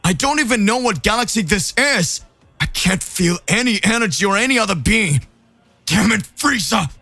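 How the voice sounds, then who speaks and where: A man acting out a bold, good-natured hero of an anime-style fight story. His voice is high, bright and excitable, with a forthright delivery. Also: normal speed, dry recording, soft background music, full character voice. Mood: outraged. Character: Goku, eager, bold, good-natured male alien fighter. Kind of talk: dramatised fan story.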